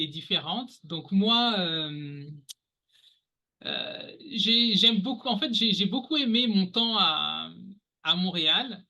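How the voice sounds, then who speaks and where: A man's voice: high voice, sharp tone. A man from the Paris region speaking as a podcast guest in his forties, talking casually with many fillers and restarts. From France, Paris region. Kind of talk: casual interview talk.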